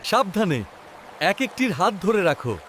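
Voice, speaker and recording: A man's voice: sits high, very animated, unhurried, fairly bright tone, a little hiss or hum, full character voice. A man voicing a polite, earnest treasure seeker in a children's cartoon. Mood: concerned.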